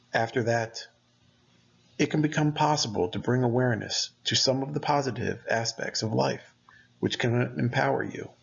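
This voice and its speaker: Gravelly-voiced man guiding a meditation. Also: medium pitch, dull audio. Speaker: meditation guide. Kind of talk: guided meditation narration.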